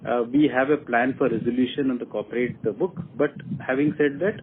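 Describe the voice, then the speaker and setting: A man with an Indian accent, a company executive answering questions on an earnings call in a deliberate way, laying out his points one by one. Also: mid-range voice, steady tone, slightly rough. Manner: deliberate, repeats and enumerates points. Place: telephone conference call. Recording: muffled phone line with faint noise.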